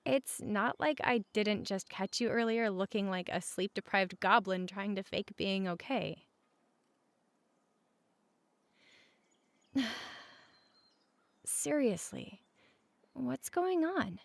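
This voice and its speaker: A woman playing a caring, teasing roommate in a comfort audio roleplay, speaking casually and candidly with a creaky voice at regular volume. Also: medium pitch, lively intonation, takes it slowly, no room sound. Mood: concerned, coaxing.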